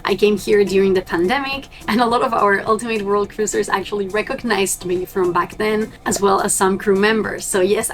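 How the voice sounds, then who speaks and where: A young woman from Argentina, a native Spanish speaker, chatting upbeat and direct to her viewers as a cruise ship crew member vlogging. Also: medium pitch. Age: young adult. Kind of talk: casual vlog to camera.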